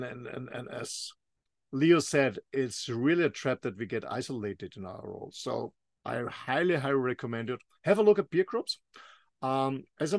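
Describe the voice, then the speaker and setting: A man with a German accent, a native German speaker, hosting a business podcast in English, halting and repeating words as he wraps up. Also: mid-range voice, no room sound, studio quality. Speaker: podcast host. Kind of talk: interview and sign-off.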